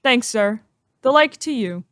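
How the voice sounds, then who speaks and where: A woman playing a loyal, watchful soldier and father in an amateur Shakespearean dramatic reading, with measured, earnest delivery. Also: on the high side, expressive, a bit bright, slight background noise. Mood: courteous. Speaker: amateur performer in a library reading.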